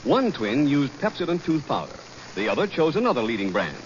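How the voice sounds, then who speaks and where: Persuasive 1940s radio announcer man. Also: very animated. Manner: brisk, persuasive pitch. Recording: dull 1940s radio broadcast, faint hiss.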